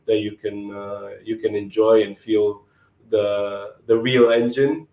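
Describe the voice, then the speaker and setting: A man in his twenties from Romania, answering interview questions in a relaxed, thoughtful way and often repeating words as he thinks. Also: fairly low pitch, slow, echoey room, phone-quality sound. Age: 20s.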